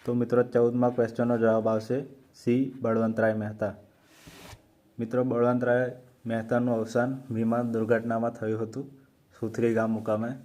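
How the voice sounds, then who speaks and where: A man with a Gujarati accent hosting an exam-prep quiz, reading out questions and options slowly and carefully. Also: medium pitch, monotone, mellow. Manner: slow, measured reading of options. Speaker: quiz host for exam preparation.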